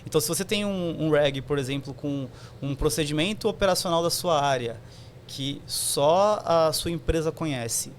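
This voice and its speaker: A man's voice: on the high side, moderate pace, slight background noise. A man, a podcast guest explaining technical concepts conversationally, with many fillers.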